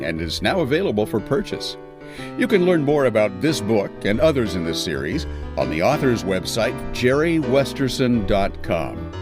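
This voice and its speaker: A man reads a scripted podcast announcement. His pitch is mid-range and moves in a lively way. Plucked guitar music plays clearly behind him.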